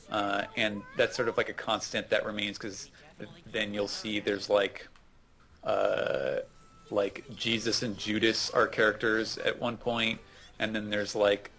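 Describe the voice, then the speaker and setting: A man chatting casually about manga as a podcast co-host, in a hesitant, halting way. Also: lowish voice, fairly bright tone.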